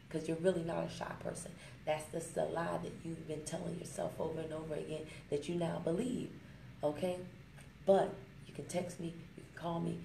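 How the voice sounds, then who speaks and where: Emphatic woman with a gravelly, roomy sound. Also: on the low side, warm tone. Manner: emphatic, preachy, fond of rhymes, slows down to stress points. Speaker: network marketing vlogger. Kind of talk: motivational vlog talk to camera. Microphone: smartphone. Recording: echoey room with faint ambient noise.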